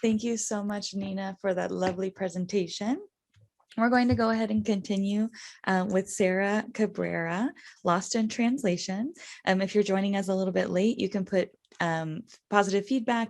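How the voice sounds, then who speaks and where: A woman's voice: medium pitch, vocal fry, a little mellow. A woman moderating a conference session over a video call, thanking one speaker and introducing the next. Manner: plain, organizing. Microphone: video call microphone.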